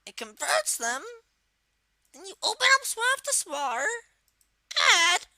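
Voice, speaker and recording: A woman voicing a young male cartoon turtle villain while giving an informal, hesitant, unscripted software tutorial. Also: very high voice, big pitch swings, slow pace, dry recording, heavily acted. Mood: explaining, casual. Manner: hesitant, informal, rambling delivery. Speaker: tutorial presenter.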